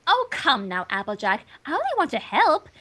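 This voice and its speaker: A woman's voice: high-pitched, wildly swooping pitch, normal speed, a bit bright, full character voice. A woman playing a refined, fussy unicorn fashionista, prim and theatrical as she coaxes in a story reading. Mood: coaxing.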